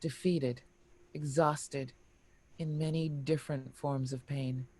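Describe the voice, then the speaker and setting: A narrator reading screenplay action and scene headings in a deliberate, expressive storytelling manner. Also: mid-range voice. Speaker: narrator reading stage directions.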